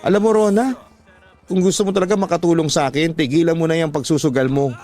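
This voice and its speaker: A man's voice: a little high, steady tone, quick pace, a little mellow, faint music behind, pitched above the performer's own voice, close to an everyday voice. Man acting frustrated, reproachful husband. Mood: angry scolding. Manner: scolding, pleading, emphatic. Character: frustrated husband pleading with gambling-addicted wife. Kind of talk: Tagalog radio drama acting.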